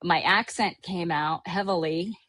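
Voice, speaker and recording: A woman's voice: lowish voice, a little slow, fairly bright tone, no room sound. A middle-aged woman from Hawaii, chatting casually as a podcast host, rambling with lots of fillers and restarts. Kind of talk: casual chat recapping a trip.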